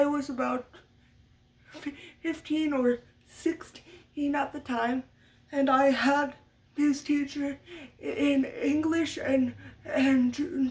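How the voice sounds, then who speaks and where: A young woman vlogger who stutters often, repeating sounds as she tells a sit-down story at home. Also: sits high. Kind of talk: sit-down story time talk. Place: home room with her dog nearby. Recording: voice a bit far from the mic.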